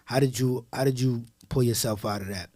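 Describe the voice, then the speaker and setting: A man's voice: medium pitch, brisk, a little mellow, dry recording. Slangy male interviewer, close dry sound. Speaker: interviewer, co-host. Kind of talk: casual interview chat.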